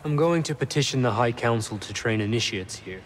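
Sci-fi game lead: actor as assured, earnest male knight-explorer, mid-pitched, impatient streak, faint noise behind. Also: lively intonation, brisk, natural voice. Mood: resolute. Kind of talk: cinematic video game acting.

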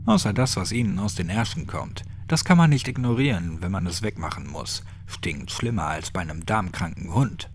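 A man voicing a gruff, crude, sadistic old man with a clipped, mocking way of talking, in a horror audiobook. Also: low voice, expressive, moderate pace, hardly any pauses, slight background noise. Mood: irritated, scornful.

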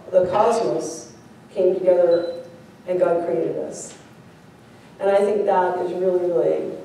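Echoey, mellow American woman preaching. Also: lowish voice, far from the mic, slight background noise. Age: middle-aged. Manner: informal, animated, anecdotal. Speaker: priest, preacher. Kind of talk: conversational storytelling sermon. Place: large church sanctuary, speaking before a congregation. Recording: echoey church, picked up from afar.

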